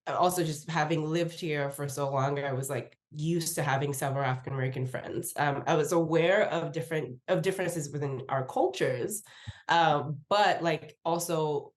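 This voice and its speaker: A woman from Nigeria, speaking in a low register, tells a personal story as a podcast guest. She talks in a loose, chatty way, laughs often and slips into acted-out quotes of what people said. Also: normal speed. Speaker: podcast guest, research professor. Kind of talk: casual interview storytelling.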